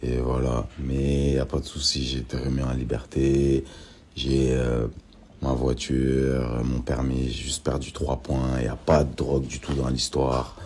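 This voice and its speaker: A man's voice: rumbling low, flat delivery, unhurried, a little mellow, a little hiss or hum. A man in his thirties giving a hesitant, reassuring statement into a phone camera indoors, with some fillers. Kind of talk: casual video statement. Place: indoor room. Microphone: phone at arm's length.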